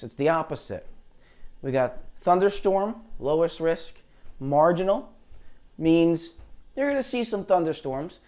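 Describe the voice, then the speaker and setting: Hoarse-voiced man, rambling weather chat. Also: fairly high pitch, darkish tone. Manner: chatty, rambling, unsure asides. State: tired, low voice after heavy talking. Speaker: meteorologist hosting a live weather stream. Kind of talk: casual live-stream weather talk. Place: green screen studio, indoors. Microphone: lavalier clip mic.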